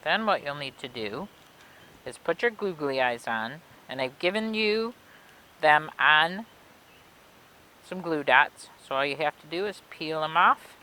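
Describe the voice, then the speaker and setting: A woman reading and explaining things for children in an expressive way, with simple, clear phrasing, like a children's librarian. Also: sits low, unhurried, slight background noise. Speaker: children's librarian reading a story and demonstrating a craft. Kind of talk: read-aloud storytelling and craft instructions for children.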